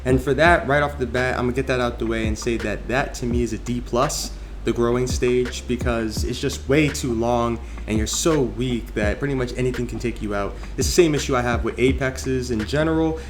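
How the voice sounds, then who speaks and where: A man's voice: medium pitch, brisk, a little hiss or hum. A man giving opinionated, conversational game commentary in an emphatic manner, as a reviewer ranking things in a tier list.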